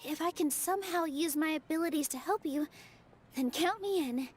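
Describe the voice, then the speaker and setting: A woman voicing a gentle, earnest young female dancer in an anime-style game, with a sweet, reassuring way of speaking. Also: high-pitched, moderate pace, slight background noise, natural voice. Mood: surprised, earnest.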